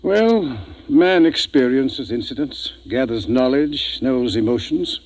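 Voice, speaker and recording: An actor playing a frank, thoughtful spaceship doctor in a 1950s radio drama, explaining things in a measured manner. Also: male voice, mid-range voice, expressive, a little mellow, low-quality recording. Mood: reflective. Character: thoughtful male ship's doctor, frank and philosophical.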